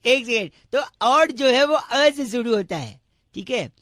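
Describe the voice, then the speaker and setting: A man's voice: very high-pitched, expressive, measured pace, a bit bright, no echo, full character voice. A man voicing a nagging, mock-earnest radio prank caller who rambles through pedantic lectures. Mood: mock-earnest lecturing. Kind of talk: comedy prank phone call.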